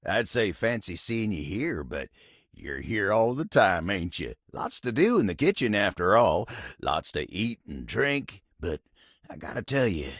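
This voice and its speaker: A chatty old-fashioned cowboy table from a video game. The voice is low and full of gravel, with a folksy Southern drawl that rises and dips freely. He rambles along politely, easygoing and a little bashful. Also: big pitch swings, unhurried, a bit bright, no echo, clean studio sound, heavily acted. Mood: friendly, reflective. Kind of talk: video game character dialogue.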